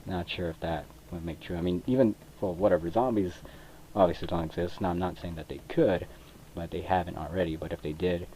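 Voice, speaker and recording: Deep low-key young guy rambling over his game, lots of um and like, crappy mic. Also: fairly even intonation, brisk, a little hiss or hum, poor audio. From Mexico. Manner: rambling and hesitant, many fillers, soft laughs. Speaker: gaming video creator. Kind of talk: casual gameplay commentary. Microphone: cheap computer microphone. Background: controller clicks.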